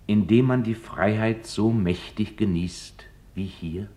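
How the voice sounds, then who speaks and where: A man playing a contemplative philosopher, reading from a letter deliberately in a radio feature. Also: lowish voice, dark tone, slightly rough.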